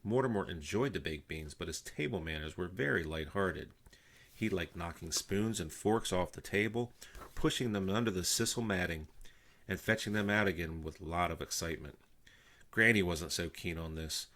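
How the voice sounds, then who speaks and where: An older man reading a children's story aloud in an animated storytelling style. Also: deep voice, moderate pace. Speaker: storybook reader.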